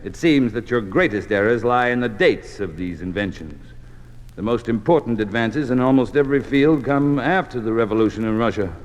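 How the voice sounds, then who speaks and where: A man's voice: medium pitch, lively intonation, moderate pace, highs cut off, close to an everyday voice. A doctrinaire, officious male Communist bureaucrat played by a radio actor, pompous and commanding. His delivery is clipped and coldly superior, in the manner of old radio drama. The recording rings with long, hall-like reverb. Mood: stern, lecturing.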